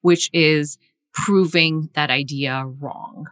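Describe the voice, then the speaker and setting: A woman's voice: low-pitched, steady tone, takes it slowly, a bit bright, no echo, clean studio sound. A woman from Singapore, a guest author on a podcast interview, speaking with passionate emphasis and frequent restarts.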